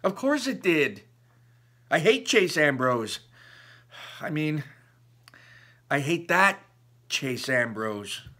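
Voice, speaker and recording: A man's voice: on the high side, very animated, slow pace, pauses often, pushed and bright, voice pushed up, full character voice. A man voicing an upset, defensive teenage girl from a storybook, arguing her side with her parents. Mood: insistent.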